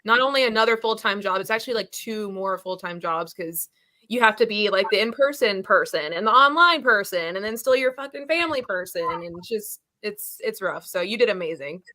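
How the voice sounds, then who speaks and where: A woman's voice: mid-range voice, animated, brisk, sharp tone. A woman from Florida, a guest in a casual chat, blunt and supportive with casual swearing.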